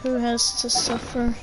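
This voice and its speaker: High-voiced woman gamer, very slow-paced. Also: rough recording. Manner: playful, reactive, short exclamations. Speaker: gamer, channel host. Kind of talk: casual gameplay commentary. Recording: loud game music behind voice.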